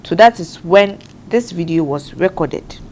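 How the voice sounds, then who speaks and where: A woman's voice: lowish voice, lively intonation, darkish tone. A woman who hosts a studio panel talk show and walks the panel through events step by step. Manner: measured, explaining step by step.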